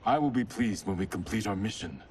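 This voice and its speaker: A man's voice: on the low side, lively intonation, fairly quick, a little mellow, slight background noise, lightly acted. Man playing an earnest ninja warrior, swooping intonation. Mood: resolute. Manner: earnest, firm. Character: dutiful, principled younger ninja brother, earnest warrior. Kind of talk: video game cutscene acting.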